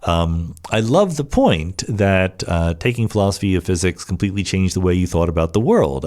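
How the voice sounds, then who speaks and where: Man with lively, swooping intonation, lowish voice. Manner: conversational, emphatic, rhetorical asides. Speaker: podcast host answering listener questions. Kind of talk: casual explanatory monologue, reads questions aloud.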